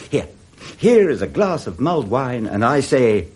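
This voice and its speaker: A jolly british victorian gent, old radio play, husky, pitch bouncing all over. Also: male voice, a little slow. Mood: forgiving, jovial. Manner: jovial, animated, chuckling. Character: good-humoured, warm-hearted Victorian gentleman, a forgiving nephew. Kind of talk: 1960s British radio drama acting.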